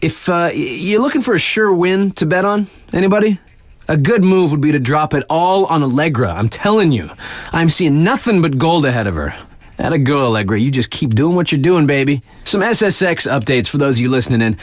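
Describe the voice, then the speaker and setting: A man playing a cool, flirty, hyped snowboarding radio DJ in a video game, with slangy, laid-back showmanship and drawn-out emphasis. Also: a little high, expressive, no echo, clean studio sound. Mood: upbeat, confident. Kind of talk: radio DJ competitor spotlights and sports updates.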